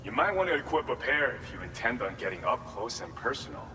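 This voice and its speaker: A man's voice: mid-range voice, big pitch swings, fast talker, natural voice. A man playing a pragmatic outpost quartermaster in a video game, giving matter-of-fact, advisory briefings. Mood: matter-of-fact, cautioning. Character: pragmatic male outpost quartermaster, wary of armed enemies. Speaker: supply facility contact briefing the player. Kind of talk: scripted game dialogue. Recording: echoey hall reverb, noisy ambience.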